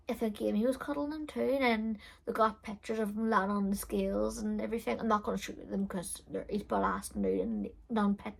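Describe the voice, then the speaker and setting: A young woman telling a personal story in a frank, chatty vlog style, with a loud voice and many fillers. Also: medium pitch, gravelly, poor audio. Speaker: beauty vlogger. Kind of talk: casual storytelling.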